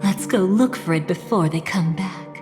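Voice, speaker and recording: An actress playing a composed, cunning, protective young woman with playful menace in a video game story, speaking in a measured, knowing way. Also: lowish voice, natural voice. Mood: scheming. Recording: long reverb, music behind.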